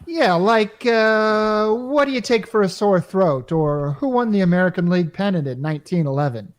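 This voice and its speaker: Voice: medium pitch, lively intonation, moderate pace, natural voice. A performer in a radio play acting a brash, exasperated salesman who talks in slangy, wisecracking sales patter. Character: brash, exasperated salesman named Caldwell, wisecracking working-class type.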